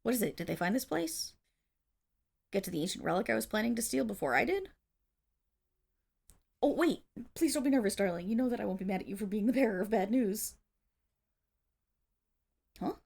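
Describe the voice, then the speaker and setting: A woman's voice: medium pitch, expressive, a little fast, vocal fry, pauses often, darkish tone. A woman playing a theatrical but bashful, lovestruck female supervillain in an ASMR roleplay audio drama. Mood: curious, frustrated. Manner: theatrical villain declaiming that flips into flustered, affectionate chatter.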